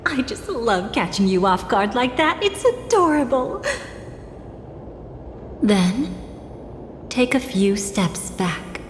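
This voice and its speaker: Enigmatic young woman, unhurried delivery, teasing, calmly danger-loving, video game cutscene, long reverb over music. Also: fairly high pitch, slight background noise. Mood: playful, teasing.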